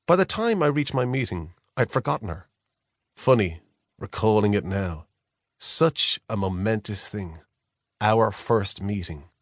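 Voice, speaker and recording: A man reads a first-person novel in a measured, reflective storytelling manner. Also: on the low side, lively intonation, no echo, studio quality. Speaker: audiobook narrator. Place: close, dry studio.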